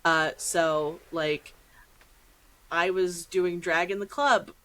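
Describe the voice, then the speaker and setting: A woman's voice: lowish voice, fairly even intonation, takes it slowly, a bit bright, rough recording. A middle-aged American woman chatting casually as a podcast host, with slangy asides and acted-out reactions.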